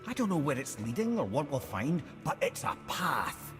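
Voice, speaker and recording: Wry old Scottish sage, very animated, wordy, theatrical, long reverb with music, video game. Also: male voice, fairly high pitch, moderate pace. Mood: delighted, hopeful. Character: wry, talkative, learned old male advisor.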